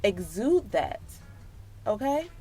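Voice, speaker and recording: A woman's voice: mid-range voice, big pitch swings, takes it slowly, warm tone, husky, soft background music. An American woman telling a personal story and giving advice in a casual, slangy, emphatic way, speaking straight to her listeners. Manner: emphatic, slangy, sisterly direct address to listeners. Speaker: storytelling vlogger.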